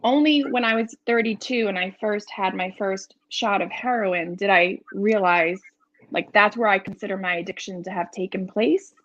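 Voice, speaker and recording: A woman's voice: mid-range voice, normal speed, a bit bright, no room sound. Middle-aged New England woman, dull close audio. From New England, United States. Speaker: interview guest, author in recovery. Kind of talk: candid conversational interview.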